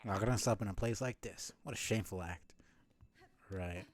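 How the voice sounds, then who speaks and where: A man's voice: fairly low pitch, normal speed. A man doing casual live commentary as he plays a video game, muttering to himself with mild swearing.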